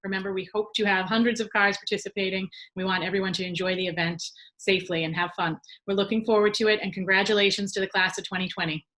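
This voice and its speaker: A woman's voice: mid-range voice, flat delivery, fast talker, few pauses, bright, forceful tone, rough recording. A woman reading a scripted school announcement as the announcer.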